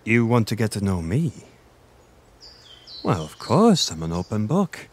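Man acting flirty low-voiced Irish innkeeper. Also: very animated, measured pace, slightly rough, full character voice. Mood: flirty, fond. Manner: flirty, teasing, chuckling. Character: flirty Irish male innkeeper, gentle, self-deprecating former adventurer. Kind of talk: roleplay audio acting, intimate and conversational.

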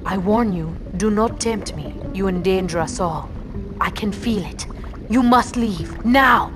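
Female actor as mysterious young swamp girl, cartoon game, medium pitch, stern, formal, secretive, music underneath. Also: lightly acted. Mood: warning. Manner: measured, cryptic, formal phrasing. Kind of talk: cartoon video game voice acting. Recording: background music under dialogue.

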